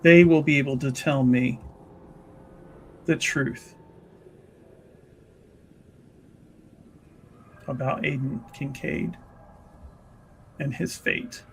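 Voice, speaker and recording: Man playing a slow, solemn, creaky noblewoman mage. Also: mid-range voice, fairly even intonation, low-quality recording. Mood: firm, grave. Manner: slow, deliberate, earnest. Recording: noticeable background noise.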